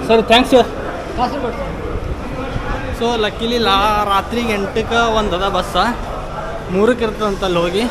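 A man's voice: high voice, poor audio. A man, vlogging a trip by camera at arm's length, chatting wryly to viewers. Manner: chatty, wry and grumbling, addresses viewers directly. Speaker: travel vlogger. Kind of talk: walking travel vlog, talking to camera. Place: outdoors on busy city streets, bus stand and riverside. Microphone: handheld action camera at arm's length. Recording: loud street traffic noise behind.

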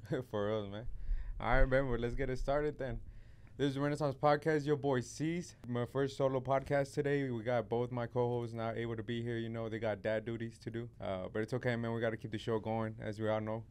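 A young man hosting a podcast in a casual, laid-back chat, talking into a studio mic on a boom arm. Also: flat delivery, brisk, few pauses, darkish tone, clean tone. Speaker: podcast host. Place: podcast studio with neon sign. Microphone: studio mic on boom arm, headphones.

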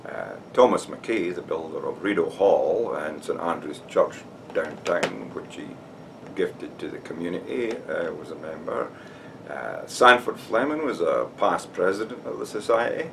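A man's voice: low voice, expressive, measured pace, creaky, a bit bright, far from the mic, slight background noise. An older man from Scotland with a Scottish accent gives an informal talk to camera, explaining steadily and often starting with "now" or "uh". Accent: Scottish, Dumfries. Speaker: society member giving a talk. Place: room with shelves and flags behind.

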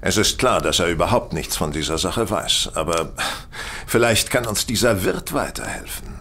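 An actor playing a shrewd, confident, courteous detective in a German audio drama, smooth-talking and probing. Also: male voice, deep voice, expressive, moderate pace, pushed and bright, slight background noise, full character voice.

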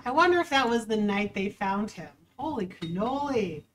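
A woman's voice: medium pitch, expressive, normal speed, recorded from a distance. A young woman, a dog trainer, chatting casually and wryly as she reads a news story aloud and comments on it. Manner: chatty, wry, reads article aloud with asides.

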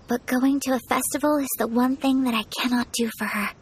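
A young woman voicing a gentle, composed and earnest noblewoman with a soft, polite, measured manner in a video game. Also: sits high, steady tone, a little hiss or hum, lightly acted, poor audio.

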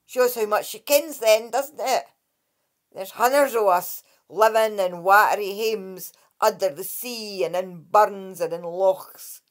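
A woman's voice: mid-range voice, slow, close to an everyday voice. A woman voicing a tiny, ugly male water fairy with a Scottish accent, cheeky and scolding.

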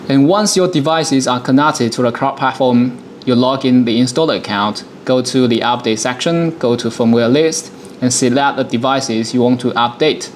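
A man in his thirties presenting a software demonstration, explaining things step by step in a dialogue. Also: mid-range voice, moderate pace, slight background noise. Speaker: product presenter.

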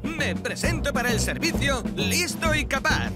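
High-pitched, earnest young cartoon fireman. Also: lively intonation, a little slow, exaggerated voice. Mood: eager. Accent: Castilian Spanish. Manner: earnest, dithering. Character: earnest, anxious, bumbling young male firefighter. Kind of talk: animated children's series dubbing. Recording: loud music under the voice.

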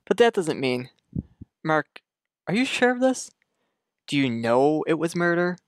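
A man's voice: a little high, sing-song, unhurried, clear, resonant tone, dry recording, studio quality. A man playing an earnest, uneasy suburban husband in a radio play, talking in a hesitant, conversational way. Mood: shocked.

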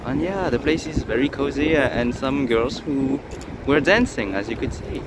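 A young man with a French accent talks to his phone on a busy city square. He rambles casually, full of fillers and little jokes, at a middling pitch. Clear street noise sits behind his voice. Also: expressive, moderate pace. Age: young adult. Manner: chatty, joking, many fillers and restarts. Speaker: vlogger. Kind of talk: casual vlog chat. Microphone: phone at arm's length.

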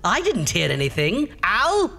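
An actor playing an easily riled, ghoulish horror host with a campy, theatrical manner. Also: male voice, high voice, wildly swooping pitch, a little slow, gravelly, bright, forceful tone, slight background noise, exaggerated voice. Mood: exasperated. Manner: theatrical, exasperated.

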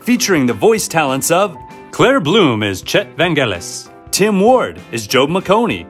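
A man's voice: fairly high pitch, very animated, measured pace, faint music behind. A man announcing scripted cast credits.

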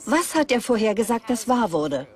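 A woman's voice: a little high, sing-song, moderate pace. A woman voicing a scientist's scripted conversational lines in a documentary. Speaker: scientist, dubbed.

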